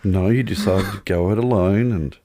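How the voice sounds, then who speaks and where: A man's voice: low voice, normal speed, mellow, no room sound, studio quality. A middle-aged man co-hosting a podcast in casual, playful, teasing chat. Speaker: podcast co-host.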